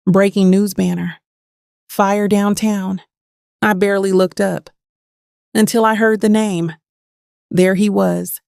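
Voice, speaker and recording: A woman's voice: medium pitch, vocal fry, a little mellow, no echo, clean studio sound. A woman playing a bitter, haunted woman in her thirties, telling her story in intense first-person dramatic narration. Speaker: narrator and lead of an audio drama.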